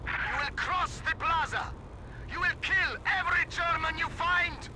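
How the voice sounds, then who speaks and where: An actor playing a zealous Soviet commander, giving intense, declamatory battle orders in a war video game. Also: male voice, extremely high pitch, a little slow. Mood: fiery, rallying. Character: fiery, zealous Soviet commander rallying troops. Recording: loud battle noise, gunfire, artillery.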